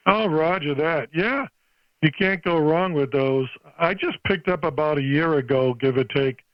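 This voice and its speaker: A man's voice: fairly high pitch, unhurried. An older American man chatting casually as an amateur radio operator over the air. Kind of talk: casual ham radio chat. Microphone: radio microphone. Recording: dull, lo-fi ham radio transmission.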